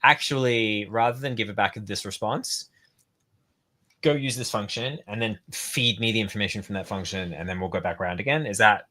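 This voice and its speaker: Aussie guy on a coding stream, lowish voice, bright and forceful, laid back and jokey. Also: brisk. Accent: Australian. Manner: relaxed, jokey, thinks aloud. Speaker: co-host. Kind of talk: casual live coding chat.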